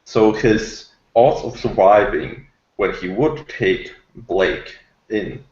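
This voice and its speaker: Male panellist, unhurried and hesitant, casual debate tone, roomy lo-fi recording with noticeable echo. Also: fairly low pitch, lively intonation, a little mellow. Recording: echoey, dull lo-fi room audio.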